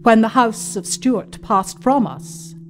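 A woman reading as a passionate Jacobite society lady from an old memoir, wistful and fervent, with measured phrasing, in a dramatic audio story. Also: fairly high pitch. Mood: proud, fervent. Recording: voice over background music.

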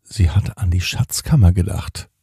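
A man hosting a children's podcast, narrating a bedtime story in a deliberate way and speaking directly to young listeners. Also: deep voice, fairly even intonation, creaky, warm tone, husky, no room sound, studio quality. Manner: addresses children directly, slow deliberate phrasing with repetitions.

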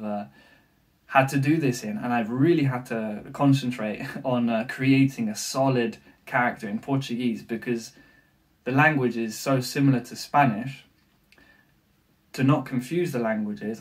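A man's voice: medium pitch, normal speed, recorded from a distance. English man coaching, slightly off-mic. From England. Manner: animated, gestures with hands, many fillers. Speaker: language coach. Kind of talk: to-camera language tips video. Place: small room with bookshelf, indoors.

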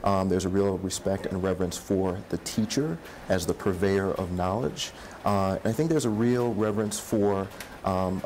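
A man in his thirties speaking in a measured way in an interview in a classroom, with many ums. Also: low-pitched, darkish tone, a little hiss or hum. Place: classroom indoors.

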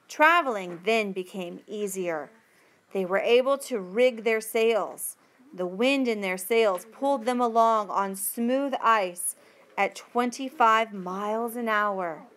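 A woman reading a textbook passage aloud as a teacher would, with careful, deliberate phrasing. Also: medium pitch, fairly bright tone.

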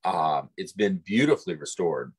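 A middle-aged man with a husky voice, chatting casually as co-host on a video call. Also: low voice, expressive, normal speed. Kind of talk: casual conversation. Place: room at home, on a video call. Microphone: device mic on a video call.

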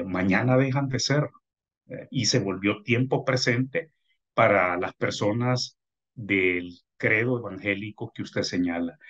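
Older guy analyst on a lo-fi video call, slow and deliberate, lots of eh's, kinda husky. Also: mid-range voice. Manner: slow, deliberate, with many hesitant "eh"s. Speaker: international analyst. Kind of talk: remote news interview. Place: home office by video call. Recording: dull lo-fi video call audio.

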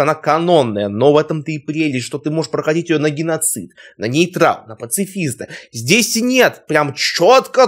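A young man reacts casually in a slightly high, husky voice that swings widely in pitch. He talks in slangy, exclamatory bursts, as a host commenting from a small room at home. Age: young adult. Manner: exclamatory, slangy, mildly swearing.

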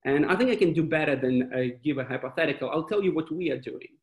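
A man's voice: on the high side, fast talker. A man in his thirties, a venture fund panelist on a webinar video call, talking animatedly with many fillers and restarts. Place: small indoor room with wall clock. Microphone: laptop webcam video call. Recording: echoey room on a video call.

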